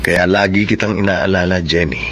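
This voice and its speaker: A man's voice: low-pitched, steady tone, fairly quick, fairly bright tone, a little hiss or hum. An actor playing an attentive, sweet-talking male suitor in a Filipino radio drama. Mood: sweet, coaxing.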